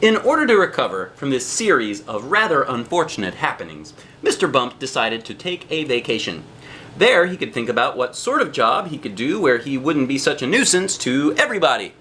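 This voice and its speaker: Man, children's storybook read-aloud, highly animated pitch, bright voice, expressive stretched emphasis. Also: a little hiss or hum. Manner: expressive, drawn-out emphasis on key phrases. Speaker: storybook reader, a dad.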